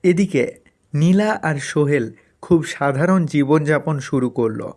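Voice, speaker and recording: A man's voice: a little high, takes it slowly, a little mellow. A man narrating a scripted cartoon folk story as its storyteller.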